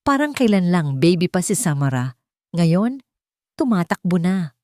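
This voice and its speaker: A woman plays a humble young Filipina housemaid in a romantic audio story. She speaks politely and deferentially, with a tender heart. The voice is mellow and closely recorded. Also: on the low side, sing-song, a little slow, no room sound, clean studio sound. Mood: wistful.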